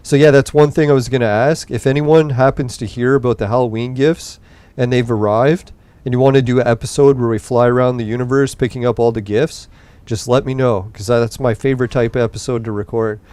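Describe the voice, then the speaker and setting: A man's voice: mid-range voice, fairly even intonation, fairly quick, darkish tone, smooth, no room sound. A young man hosting a gaming podcast, rambling casually and swearing while he plays, his voice tiring late at night. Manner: rambling, profane, laid-back. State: voice getting tired late at night. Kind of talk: casual gameplay commentary.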